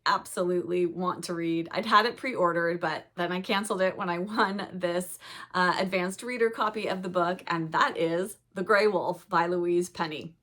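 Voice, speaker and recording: Soft-spoken woman with a cold. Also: fairly low pitch. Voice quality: soft-spoken, reads blurbs aloud. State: recovering from a cold, slightly raspy. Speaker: book vlogger. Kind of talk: casual book haul chat.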